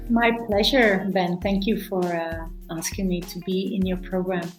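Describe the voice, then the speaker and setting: A woman's voice: on the low side, fairly even intonation, measured pace. A middle-aged woman from Belgium, a guest in an interview who often repeats words and restarts. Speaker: guest, veterinarian and animal chiropractor. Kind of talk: casual interview talk. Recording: echoey room, faint music.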